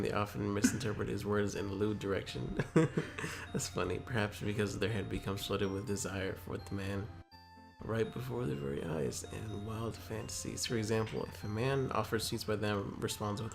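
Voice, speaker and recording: A man's voice: lowish voice, gravelly. A man casually reading aloud as a co-host, with joking commentary along the way. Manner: joking, easily amused.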